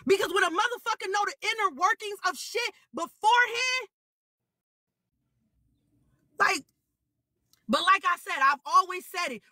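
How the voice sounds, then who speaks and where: A young woman delivers a heated livestream rant, loud and profane, hammering the same phrases again and again. Her voice sits very high and has a sharp, bright edge. The sound is dry and close. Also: no echo. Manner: shouting, profane, repetitive. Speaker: livestream guest.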